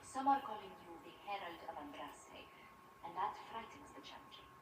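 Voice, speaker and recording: A composed female advisor from a fantasy game, played by a woman, speaks with polite, tactful formality. Her medium-pitched voice is heard at a distance, with room echo and noise. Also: lively intonation, moderate pace, close to an everyday voice. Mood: composed, concerned. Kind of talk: dramatic video game dialogue. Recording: roomy, distant, noisy game capture.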